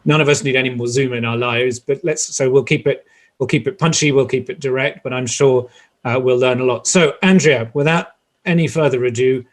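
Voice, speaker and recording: A man's voice: mid-range voice, steady tone, brisk. A male moderator speaks in a mid-range voice over a video call. He rambles a little and stumbles through frequent restarts as he hands over to the speaker. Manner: rambling, hesitant, with many restarts.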